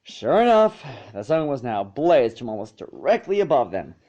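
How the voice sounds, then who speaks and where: A man with a medium-pitched voice narrates a story as if from a storybook. He occasionally trips over a phrase, and the home recording is a bit dull and lo-fi. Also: sing-song, normal speed, warm tone. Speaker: narrator of fan fiction. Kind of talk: storybook narration read aloud.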